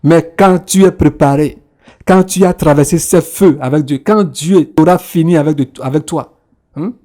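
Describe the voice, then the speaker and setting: A man preaching, his voice mellow and a little high for a man. He is emphatic and repeats his phrases, dropping in a hallelujah between them. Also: moderate pace. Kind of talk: sermon.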